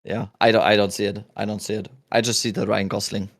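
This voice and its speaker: A man in his thirties with a German accent, hosting a podcast in a relaxed, rambling chat with restarts. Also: on the low side, quick pace, vocal fry. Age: 30s. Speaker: podcast host. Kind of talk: casual chat.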